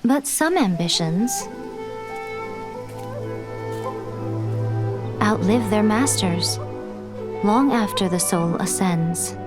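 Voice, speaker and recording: A woman's voice: medium pitch, lively intonation, takes it slowly. A woman playing an elegant, worldly shrine priestess in a video game, poised, refined and playful. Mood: poetic, reflective. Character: sly, elegant, teasing shrine priestess, worldly and self-assured. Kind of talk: video game cutscene acting and narration. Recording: music behind the voice.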